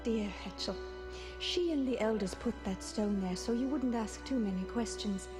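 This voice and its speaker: A woman's voice: fairly high pitch, music in the background, close to an everyday voice. Woman voicing a solemn, motherly swan noblewoman. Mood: grave, concerned. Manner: solemn, measured, formal diction. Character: swan, transformed noblewoman and loving long-exiled mother. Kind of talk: scripted video game voice acting.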